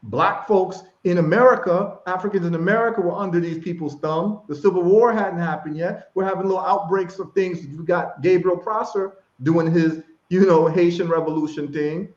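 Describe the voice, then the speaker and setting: A man's voice: high voice, normal speed, few pauses. Passionate man on an echoey stream. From Ghana. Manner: passionate, emphatic, repeats phrases. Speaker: guest. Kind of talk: casual chat. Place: live stream call. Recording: echoey, dull lo-fi stream audio.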